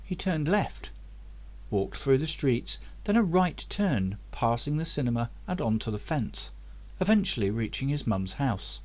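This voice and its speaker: A man's voice: medium pitch, big pitch swings, a little slow, darkish tone. A man reading his own novel aloud as scripted audiobook narration.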